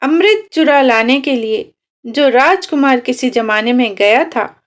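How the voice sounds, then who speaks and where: A woman reading a literary short story aloud as a storyteller, in a deliberate, dramatic way. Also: sits high, expressive. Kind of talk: expressive scripted narration of a literary short story.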